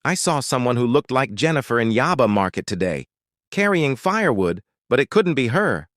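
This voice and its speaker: Man as a suspicious young Nigerian student girl, raised pitch. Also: big pitch swings, moderate pace, a bit bright, no room sound, studio quality. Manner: pointed, insistent.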